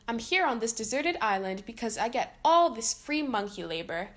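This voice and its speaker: Woman doing an evil scientist guy from a storybook, boastful, excitable, high swoopy voice. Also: moderate pace. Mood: boastful, gloating.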